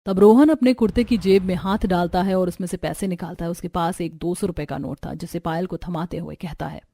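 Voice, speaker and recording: A woman's voice: lowish voice, steady tone, fairly quick, mellow, dry recording. A woman reading scripted story narration as the narrator.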